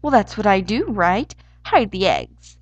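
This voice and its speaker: A woman's voice: medium pitch, sing-song, a little slow, no room sound, lo-fi sound. A woman voicing a fussy, eager male storybook hare with a German accent, exclamatory and easily indignant. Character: excitable, fussy male Easter hare wearing pants. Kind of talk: storybook character voice.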